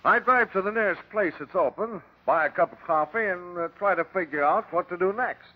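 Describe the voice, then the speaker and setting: An actor playing a cocky, slangy, wisecracking treasure hunter in an old-time radio drama. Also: male voice, high voice, a little fast, phone-quality sound. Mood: breezy, cocky. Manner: slangy, flippant tough talk.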